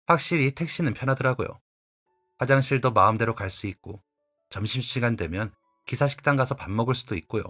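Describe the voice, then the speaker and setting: A man calmly reading a first-person life story as a scripted narration, over faint music. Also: medium pitch, fairly quick. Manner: calm, confiding storytelling.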